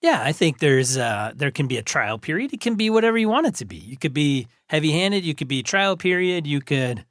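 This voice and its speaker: A man co-hosting a casual gaming podcast, easygoing and quick to banter with his partner. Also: fairly high pitch, very animated, a bit bright, no echo, studio quality. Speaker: podcast co-host.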